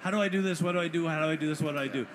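A man's voice: a little high, racing. A man speaking casually and cheerfully on a conference panel about an online learning community. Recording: noisy conference stream.

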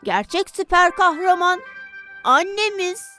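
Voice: very high-pitched, expressive, a little slow, bright, forceful tone, soft background music, full character voice. A performer voicing a sensible young boy, an older brother who explains and corrects, in a dubbed children's cartoon. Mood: explaining.

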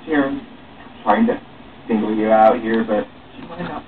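A man's voice: lowish voice, moderate pace. Young man reading aloud, tinny distant recording. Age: young adult. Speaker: author reading own script aloud. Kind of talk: dramatic reading of dialogue, playing all parts. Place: small room at home, seated. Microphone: far camera or webcam microphone. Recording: muffled phone-like webcam sound, distant, noisy.

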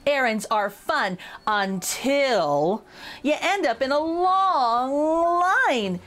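A high-voiced woman reads a children's picture book aloud with very lively, swooping intonation. She is playful and speaks straight to her young listeners, taking her time, with music playing underneath. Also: extremely slow. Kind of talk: expressive children's read-aloud.

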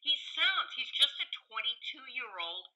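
An older woman speaking as a guest academic in a conversational podcast interview, scholarly and effusive, often halting as she searches for words. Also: on the high side, big pitch swings, bright, forceful tone, low-quality recording. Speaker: guest professor and author.

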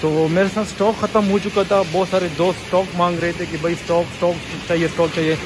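A man's voice: sits high, flat delivery, fairly quick, background noise. A man with a Pakistani Urdu accent, filming on a phone as he walks through a workshop and explains each step in a chatty vlog. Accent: Urdu-speaking Pakistani. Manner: chatty, repeats "you can see" while pointing. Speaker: vlogger showing a factory. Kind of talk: casual walkthrough vlog. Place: indoor workshop with machines. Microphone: handheld phone camera.

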